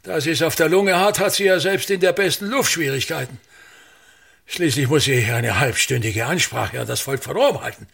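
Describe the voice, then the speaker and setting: An actor playing a pompous aging state actor in a radio play, declaiming and grumbling bitterly, swinging between mutters and outbursts. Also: male voice, a little high, moderate pace, vocal fry, fairly bright tone, slightly rough, heavily acted. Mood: complaining. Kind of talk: theatrical radio-play monologue.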